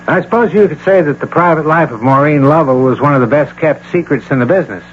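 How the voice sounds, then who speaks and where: Actor as an unflappable private detective, muffled radio. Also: male voice, a little high, quick pace, close to an everyday voice. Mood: matter-of-fact. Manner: deferential, matter-of-fact, dry. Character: polite, unflappable male private detective, matter-of-fact and worldly. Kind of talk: 1980 radio drama acting. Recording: dull, hissy old radio broadcast.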